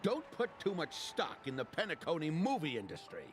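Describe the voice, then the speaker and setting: A man's voice: a little high, huge pitch swings, a little fast. A man voicing a cynical moviegoer who scoffs and dismisses everything, in a game with music behind him. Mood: scoffing. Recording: game audio over loud music.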